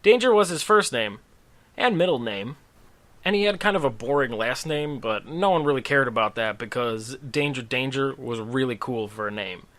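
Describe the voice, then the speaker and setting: A man's voice: medium pitch, expressive, moderate pace, a bit bright. Man, comic storyteller, scripted narration, dramatic tongue-in-cheek delivery, casual asides. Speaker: storyteller, host of a story series.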